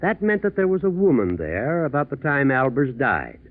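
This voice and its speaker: An American actor playing a methodical, shrewd district attorney in a 1940s radio drama, probing in a deceptively casual way, on a tinny old recording. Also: male voice, medium pitch, big pitch swings, moderate pace, natural voice. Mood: confident, explanatory.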